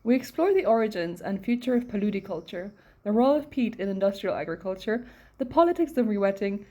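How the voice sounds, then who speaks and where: Woman, podcast host, scripted intro, mellow tone, even reading. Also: medium pitch, quick pace.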